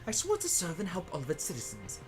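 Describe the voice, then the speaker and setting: A woman playing a dramatic high school student in a comedic anime game fandub, with theatrical delivery. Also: huge pitch swings, fast talker, over music. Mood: solemn.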